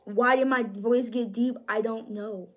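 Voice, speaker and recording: A woman giving a casual tarot reading in a high voice, swearing freely and talking with slangy emphasis. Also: fairly even intonation, measured pace, background noise. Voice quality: high-pitched voice. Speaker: tarot reader.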